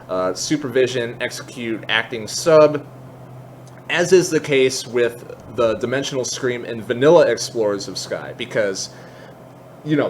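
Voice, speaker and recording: Guy with a mid-pitched voice walking thru a software tutorial, informal n a bit self-deprecating, some noise behind him. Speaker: tutorial host. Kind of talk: casual software tutorial walkthrough.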